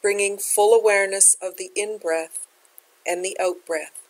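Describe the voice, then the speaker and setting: Woman gently guiding a meditation. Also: medium pitch, steady tone, unhurried, low-quality recording. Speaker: meditation teacher. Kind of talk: guided meditation instruction.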